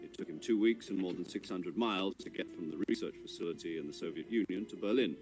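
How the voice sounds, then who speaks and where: Male actor as composed intelligence commander, low pitch, measured formal delivery, video game briefing, clear music underneath. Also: lightly acted. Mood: grave. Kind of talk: dramatic scripted mission briefing in a video game cutscene.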